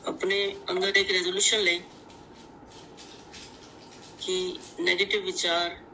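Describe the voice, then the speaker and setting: A woman leads a guided meditation in measured, deliberate, instructive short phrases. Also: lowish voice, little pitch movement, bright, forceful tone, slight background noise, low-quality recording.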